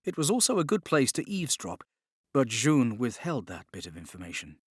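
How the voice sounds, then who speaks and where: A man's voice: mid-range voice, wildly swooping pitch, brisk, breathy edge, no echo, clean studio sound. A man reading scripted dramatic narration as an audiobook narrator.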